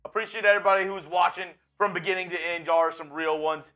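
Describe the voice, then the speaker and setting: A man's voice: sits high, fairly even intonation, quick pace, pushed and bright. A young man co-hosting on a video call, laid-back and friendly. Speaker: co-host. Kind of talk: casual chat.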